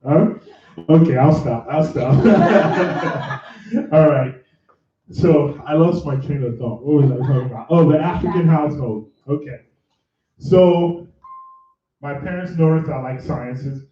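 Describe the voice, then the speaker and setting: A man's voice: on the high side, slow, a crowd in the background. A man from Nigeria hosting a live career workshop, chatty and self-correcting as he tells his story to the room. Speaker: career bootcamp host and mentor. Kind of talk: live workshop talk with audience. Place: large room with live audience. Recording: echoey room, far from the mic, dull sound.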